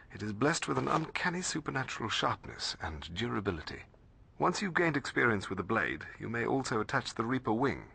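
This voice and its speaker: A man's voice: mid-range voice, gravelly, bright, forceful tone, slightly rough, heavily acted. A man playing a learned, earnest middle-aged mentor in a video game, with a formal, bookish way of explaining. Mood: informative. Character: learned, earnest male mentor and researcher to a slayer. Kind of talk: video game cutscene acting.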